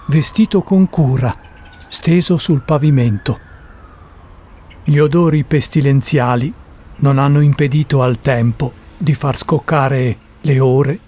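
Male narrator, unhurried, soft dark tone, fairly high pitch, solemn, radio drama. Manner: measured, solemn, dramatic pauses.

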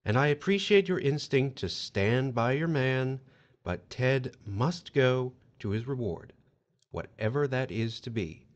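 An actor playing a calm, persuasive afterlife agent in an audio drama, measured, formal and reassuring. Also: male voice, mid-range voice, dull audio, natural voice. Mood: sympathetic.

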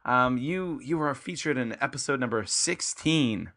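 A man hosting a podcast with a bright, forceful tone and an upbeat, chatty manner. He keeps calling out to his listeners and pitches his show with plain enthusiasm. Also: animated, a little slow. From Canada. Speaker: podcast host. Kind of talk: casual podcast intro and interview. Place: his home in Kobe, Japan.

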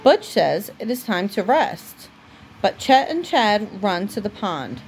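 A woman reading a children's storybook aloud as a mother would at bedtime, with deliberate pauses. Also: mid-range voice, very animated, a little hiss or hum, rough recording.

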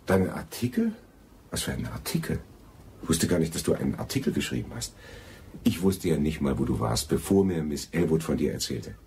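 Radio drama gentleman, bass voice, smooth-talking and patronising. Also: sing-song, brisk, a little hiss or hum, full character voice. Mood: placating. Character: smooth-talking, condescending false friend, a gentleman. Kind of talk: German radio drama acting.

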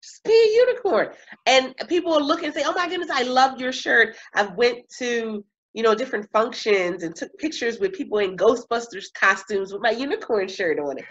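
Animated woman in her thirties, video call. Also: a little high. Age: 30s. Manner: animated, laughs often, drawn-out emphasis. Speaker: coach and speaker. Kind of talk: casual interview chat. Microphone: webcam on video call. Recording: slightly muffled video-call audio.

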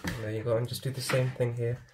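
A man hosting a home cooking channel, talking viewers through a recipe step by step in a steady, instructive way from his kitchen. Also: mid-range voice, fast, background noise. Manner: steady, instructive, often addresses viewers as guys.

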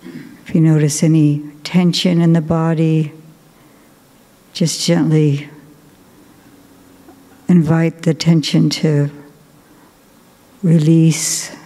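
A woman, a Buddhist nun, guiding a meditation in a slow, gentle, soft-spoken way with long pauses. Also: deep voice, little pitch movement. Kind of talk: guided meditation. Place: large cathedral. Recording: long cathedral reverb.

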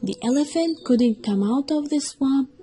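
A woman's voice: sits high, animated, a little slow, mellow. A woman reading a scripted children's story as the narrator.

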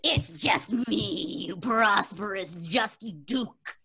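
A woman's voice: medium pitch, bright, forceful tone, breathy edge, full character voice. A woman playing a menacing, grandiose witch's ghost who declaims archaically in an old-time radio horror play.

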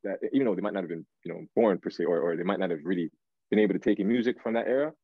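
A low-voiced man talks loosely as a guest on a video call. He is slangy and halting, circling back as he hunts for words. The sound is close and dry but a bit lo-fi. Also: slightly rough, no room sound. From Canada, raised in Toronto. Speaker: guest R&B singer. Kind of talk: casual interview chat. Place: small room, indoors. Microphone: video call, device at arm's length.

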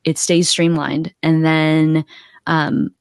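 A woman's voice: sits low, level tone, takes it slowly, creaky, no echo. A woman in her forties with an American accent, giving casual conversational advice as a podcast guest on a close microphone. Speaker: podcast guest, accountability coach. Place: home room indoors, video call.

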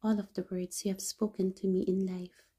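A woman voicing a grieving mother who mourns her dead son, in a soft, half-whispered lament. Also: medium pitch, little pitch movement, normal speed, close to an everyday voice. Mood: sorrowful.

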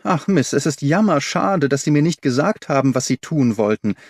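A man's voice: a little high, expressive, moderate pace, no room sound, studio quality. A man voicing a talkative, loyal older woman servant in an audiobook story, colloquial, deferential and eager to explain. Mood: regretful.

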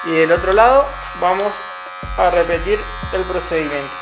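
A young man, a barber calmly explaining a haircut step by step in a small barbershop. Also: high-pitched, moderate pace, creaky. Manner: calm, instructive, repeats steps patiently. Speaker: barber instructor. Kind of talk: step-by-step tutorial narration. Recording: roomy sound with music behind. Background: background music and clipper buzz.

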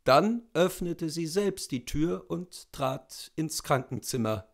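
Slow, high-pitched man reading literature. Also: lively intonation, vocal fry. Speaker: literary reader, narrator. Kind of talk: expressive literary audiobook narration. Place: indoors in front of bookshelves.

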